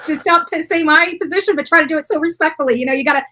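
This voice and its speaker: A woman's voice: high-pitched, racing, sharp tone. A woman in her early forties in casual, emphatic discussion, often repeating phrases to drive a point home. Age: early 40s. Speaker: true crime YouTuber.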